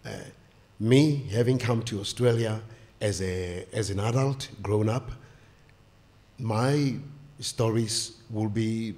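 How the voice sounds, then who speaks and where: An older man, a guest author on a stage panel, speaking haltingly with many fillers into a stand mic. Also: lowish voice, darkish tone. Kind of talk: panel discussion. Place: stage of a small indoor venue.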